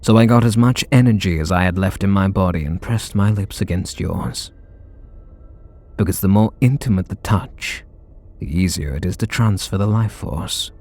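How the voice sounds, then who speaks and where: A man voicing a brooding, guarded male super villain in an ASMR audio roleplay, speaking softly and confidingly with blunt swearing. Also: moderate pace. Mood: confessional. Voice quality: low gravelly, husky voice.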